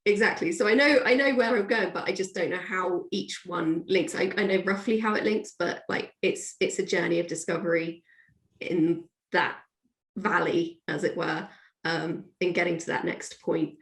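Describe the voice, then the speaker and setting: A woman's voice: lowish voice, steady tone, normal speed. A woman guest author talking casually and with animation in an interview, often acting out what people say. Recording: echoey, roomy sound.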